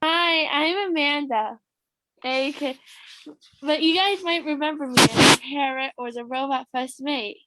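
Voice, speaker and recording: A woman's voice: sits high, unhurried, very noisy. A woman actor chatting casually on a video call in short, simple, enthusiastic remarks.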